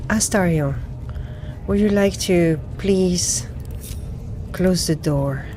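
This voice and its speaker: A woman playing a video game in character, talking deliberately and softly to her companions as she commentates. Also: lowish voice. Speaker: gamer roleplaying her own character. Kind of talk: immersive roleplay game commentary. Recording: game music behind her.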